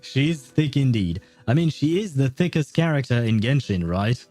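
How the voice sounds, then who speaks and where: A man's voice: mid-range voice, sing-song, moderate pace, warm tone, dry recording, faint music behind. A man streaming a story game, chatting casually in a rambling, jokey way and laughing at himself. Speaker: gaming streamer. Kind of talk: casual live game commentary.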